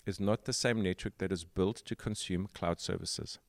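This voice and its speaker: A man with a South African accent hosting a tech podcast, explaining at length in a casual way with pauses and fillers. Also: low-pitched, creaky, dry recording. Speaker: podcast host.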